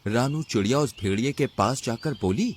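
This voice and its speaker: A man's voice: mid-range voice, moderate pace, husky. A man narrating a scripted children's story.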